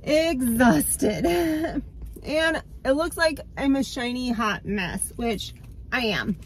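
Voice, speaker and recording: A woman in her thirties vlogging from the driver's seat, slightly stuffed up and nasal from a cold. She talks slowly in a somewhat high voice, laughs easily, and sounds close to a phone on the dashboard. A faint hiss sits behind her in the car. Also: expressive, rough recording. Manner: chatty, laughs often, slow and drawn out. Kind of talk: casual vlog chat to camera. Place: parked car, inside. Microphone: phone camera on the dashboard.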